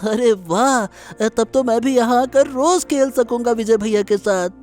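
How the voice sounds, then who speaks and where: A performer voicing an excitable young village grandson full of wonder in a cartoon story, eagerly repeating words. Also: mid-range voice, unhurried, soft background music, lightly acted. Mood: eager, curious. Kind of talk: Hindi cartoon story dialogue.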